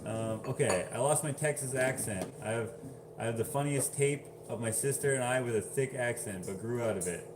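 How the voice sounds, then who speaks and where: A man's voice: mid-range voice, a little fast, noisy background. A man casually chatting on a livestream, reading viewer comments aloud and laughing often. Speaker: livestream host.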